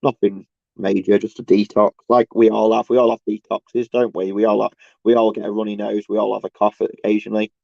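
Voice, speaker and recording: Man, croaky sore throat, tired, fry-heavy, halting rambling vlog talk, exasperated swearing, dry muffled recording. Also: on the low side, flat delivery, a little mellow, dry recording. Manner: halting, repetitive, searching for words. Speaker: solo video host. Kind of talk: casual rambling monologue to camera. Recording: dull, lo-fi sound.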